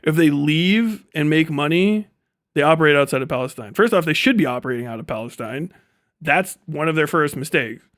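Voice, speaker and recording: Higher-voiced man, profane livestream rant. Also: animated, a little fast, a bit bright, no echo, studio quality. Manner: profane, emphatic, ranting. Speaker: livestream host. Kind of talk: casual livestream commentary.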